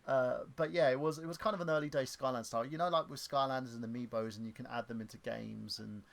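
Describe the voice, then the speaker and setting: A man in his thirties hosting a livestream, chatting casually in a halting way with lots of fillers and restarts. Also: mid-range voice, steady tone, vocal fry. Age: 30s. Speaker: stream host.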